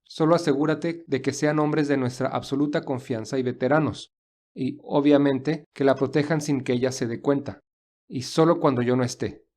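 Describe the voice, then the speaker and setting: A man playing an earnest, defensive young gentleman in love in an audio drama, speaking in a measured way. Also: mid-range voice, steady tone, lightly acted. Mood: resolute.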